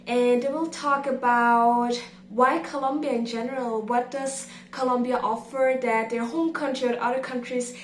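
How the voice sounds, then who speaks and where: A woman's voice: high voice, steady tone, normal speed, reverberant, far from the mic, slight background noise. A woman hosting a video channel, casually introducing the episode in a friendly, explanatory way. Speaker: channel host.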